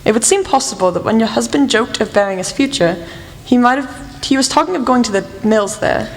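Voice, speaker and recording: A woman acting in an amateur radio play as a shrewd lady detective, speaking in a measured, frank and formal way. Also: fairly high pitch, animated. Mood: confident. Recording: echoey hall with faint noise.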